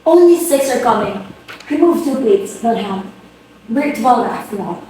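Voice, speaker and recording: A woman's voice: fairly high pitch, expressive, a little slow, dark tone. A woman playing a flustered, imperious society hostess and mother in an amateur dramatic reading of a comic stage play, with a theatrical, exclamatory manner. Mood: indignant. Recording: very echoey room, distant mic, faint noise.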